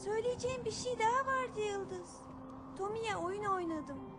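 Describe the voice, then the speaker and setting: A woman's voice: very high voice, normal speed, over loud music. A woman playing a young girl, a bossy but caring big sister, in a dubbed children's cartoon. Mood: confiding.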